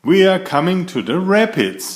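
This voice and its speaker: A man voicing an excited, emphatic young bear cub in a storybook. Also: sits high, normal speed, pitched above the performer's own voice. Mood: urgent. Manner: exclaiming, emphatic. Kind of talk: storybook character voice.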